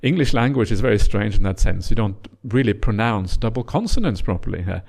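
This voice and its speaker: A middle-aged man from Norway, a native Norwegian speaker, giving a gentle, teasing dharma talk as a monk leading a retreat, with many uhs and yeahs. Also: fairly low pitch, lively intonation, a little fast, gravelly.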